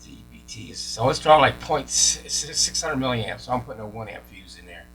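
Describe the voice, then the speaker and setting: A man's voice: mid-range voice, animated, brisk, fairly bright tone, low-quality recording. A man giving a casual hands-on commentary as he repairs things, muttering and thinking aloud to himself. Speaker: radio repair hobbyist. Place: repair workshop. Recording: clear mains hum and noise.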